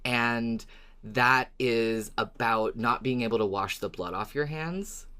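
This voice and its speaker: A woman's voice: a bass voice, takes it slowly, fairly bright tone. A woman hosting a podcast in casual discussion, friendly and thoughtful, laughing easily. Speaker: podcast host.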